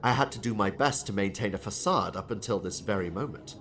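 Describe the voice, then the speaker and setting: An actor playing a proud, eager male inventor in an audiobook drama, with an earnest, expressive delivery. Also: fairly low pitch, a little fast. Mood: giddy, confiding. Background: soft background music.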